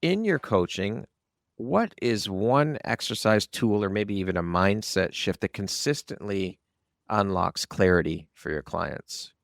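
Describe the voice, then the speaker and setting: A man with a low, creaky voice hosts an interview in a conversational, encouraging manner. The sound is dry and close. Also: expressive, a little slow, dry recording. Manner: affirming, encouraging. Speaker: podcast host.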